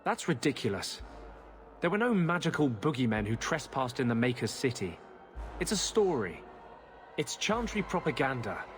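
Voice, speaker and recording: A man's voice: medium pitch, sing-song, moderate pace, over music, natural voice. A man playing a skeptical, bookish mage in a fantasy video game, articulate, emphatic and argumentative. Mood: scornful.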